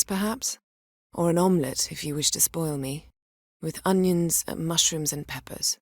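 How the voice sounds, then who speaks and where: A haughty sorceress from a fantasy game, played by a woman, speaks in a lowish voice. Her diction is formal and her manner imperious, with a dry, cutting wit. The sound is close and free of room echo. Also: lightly acted. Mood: dry, sardonic. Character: haughty, sharp-tongued, commanding sorceress in a fantasy world. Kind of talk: fantasy video game voice acting.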